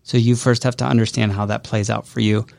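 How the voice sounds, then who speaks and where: A man's voice: lowish voice, little pitch movement, a little fast, creaky, darkish tone, no room sound, clean studio sound. Encouraging man giving advice. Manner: encouraging, thoughtful. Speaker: guest host, business adviser. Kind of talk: casual chat, giving advice.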